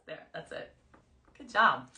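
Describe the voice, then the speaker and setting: A middle-aged woman with a loud, strong voice, hosting a casual live chat as a singer who breaks into song. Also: takes it slowly, echoey room, slight background noise. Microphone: phone livestream.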